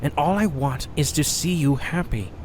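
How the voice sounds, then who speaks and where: A man voicing a small, clumsy male creature with a propeller, speaking softly and earnestly in an amateur audio drama. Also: a little high, animated, normal speed, a little hiss or hum, natural voice, low-quality recording. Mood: coaxing.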